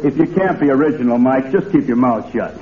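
Bossy comic policeman male actor, gruff accusing tough talk, old radio comedy, thin narrow-band recording. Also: mid-range voice, lively intonation, fairly quick, natural voice. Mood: accusing, sarcastic. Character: bossy, sarcastic tough-guy cop. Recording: tinny, faintly noisy old radio recording.

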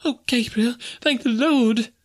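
A man's voice: very high voice, slow, voice pushed up, heavily acted. A man voicing a hysterical, terrified maidservant who wails and exclaims in folksy dialect in an audiobook. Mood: dazed.

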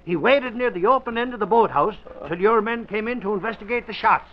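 An excitable, eager man, voiced by a male actor, exclaims urgently in a very high-pitched voice. It is vintage radio acting with dulled sound. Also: brisk, lo-fi sound, natural voice. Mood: excited. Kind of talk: old-time radio drama acting.

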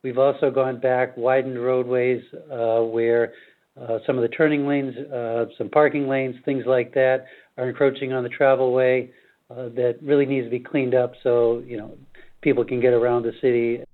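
City official guy on a phone line, flat, lots of uhs, tinny. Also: mid-range voice. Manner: halting, with fillers. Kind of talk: interview clip.

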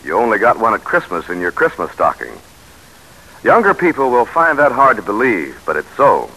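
Husky, bright American radio announcer. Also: male voice, on the low side, big pitch swings, normal speed, low-quality recording. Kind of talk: 1940s radio announcing, scripted sponsor narration. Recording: old 1940s radio broadcast recording.

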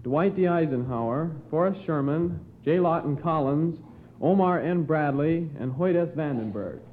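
Teenage male, slightly high voice, formal question reading, quiz challenger, thin vintage radio recording. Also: normal speed, slight background noise. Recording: tinny, band-limited 1950s radio recording.

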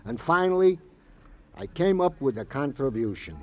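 A man's voice: mid-range voice, very animated, moderate pace. An actor playing a wordy, wistful police sidekick in a 1950s radio crime drama, savoring his oddly formal phrases theatrically. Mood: self-important. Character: wistful, florid, wordy male police colleague, eager to please. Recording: dull, muffled old radio recording.

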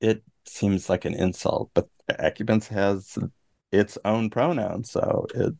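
A man's voice: lowish voice, slow pace, gravelly, a little mellow, no echo. A man co-hosting a book podcast who speaks in a dry, teasing way. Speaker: podcast co-host. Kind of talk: casual book discussion.

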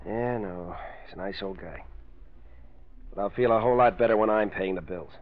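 An actor playing a proud, uneasy out-of-work husband in a 1940s radio drama, plainspoken and brooding. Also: male voice, fairly low pitch, moderate pace, lightly acted. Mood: proud, glum. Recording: dull old radio recording.

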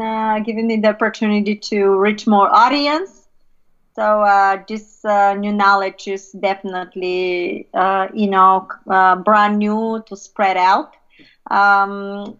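A woman from Bulgaria, a podcast guest telling her life story in a halting way, full of "uh" pauses. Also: medium pitch, fairly bright tone, lo-fi sound.

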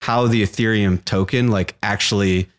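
Young man, low voice, casual explainer, keeps asking "right?". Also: fairly even intonation, moderate pace. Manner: explanatory, frequent "like" and "right?" check-ins. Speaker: podcast co-host explaining crypto. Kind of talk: casual podcast chat.

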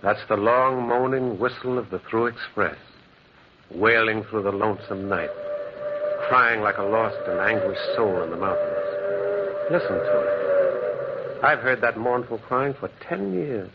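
A man's voice: lowish voice, normal speed, close to an everyday voice. An actor playing a lonely man who lives alone by the railroad tracks, narrating and acting in a brooding, dramatic 1940s radio drama style. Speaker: narrator and lead character. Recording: lo-fi old radio recording with faint hiss.

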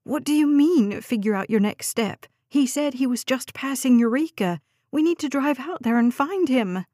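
Woman narrator as desperate mother, insistent, pleading, higher-pitched, audiobook suspense drama. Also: measured pace, darkish tone, no echo, studio quality, close to an everyday voice. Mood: angry, insistent. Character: frantic, demanding young mother of a missing girl.

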